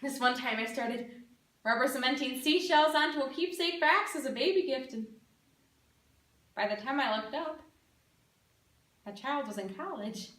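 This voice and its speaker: A woman performs a middle-aged crafts lady from a small town, earnest and perky in a stage monologue. Her voice is high and sweeps widely up and down, with theatrical pauses. It is heard from a distance in an echoey room. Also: animated, fairly bright tone. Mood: cheery, wry. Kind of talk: competitive speech monologue, dramatic interpretation.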